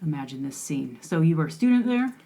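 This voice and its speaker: A woman's voice: sits low, big pitch swings, quick pace, mellow. A young woman hosting an interview, chatty and affirming, with frequent back-channel responses. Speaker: interview host. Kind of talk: casual interview conversation.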